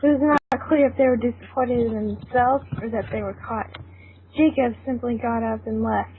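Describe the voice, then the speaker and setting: Female story narrator, higher register, amateur group reading, thin lo-fi line. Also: steady tone, normal speed. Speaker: narrator reading a fan fiction aloud. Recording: tinny narrow phone-like line, hissy noise.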